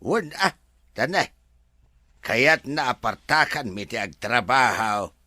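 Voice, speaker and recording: A man with an Ilocano accent playing a deferential, excitable resort workman in a radio drama, stammering and full of exclamations. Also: medium pitch, takes it slowly, dry recording, studio quality, heavily acted. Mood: explaining.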